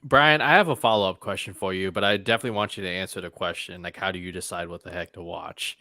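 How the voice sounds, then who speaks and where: A man's voice: low voice, fairly even intonation, fast talker, pushed and bright, dry recording, studio quality. A man hosting a casual chat show, talking informally and circling around his point.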